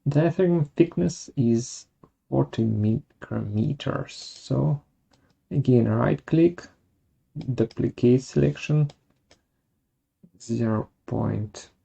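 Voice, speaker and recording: Software tutorial presenter, unhurried and halting, gravelly mid-pitched voice with a dark tone. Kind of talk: step-by-step software tutorial narration.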